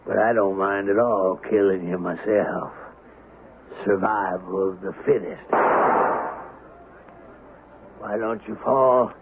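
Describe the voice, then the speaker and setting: A blustering, greedy Old West rich man in a vintage radio drama. His gravelly voice runs fairly high and swings widely, taking its time as he falters. The broadcast is tinny and noisy. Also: fairly even intonation, takes it slowly, long pauses. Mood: cold, menacing. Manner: blustering, faltering when rattled. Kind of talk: 1970s radio drama acting.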